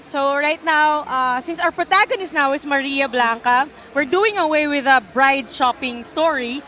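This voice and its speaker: A woman's voice: sits high, normal speed, pushed and bright. A woman from the Philippines, a game developer, chattily explaining her game in an interview.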